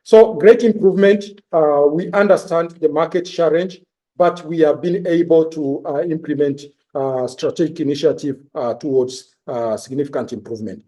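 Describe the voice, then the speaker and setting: This man has a dark, relaxed timbre set a little high. He delivers a corporate report in halting fragments, pausing and hesitating as he goes. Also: dull audio. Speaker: bank executive. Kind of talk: corporate results presentation on conference call.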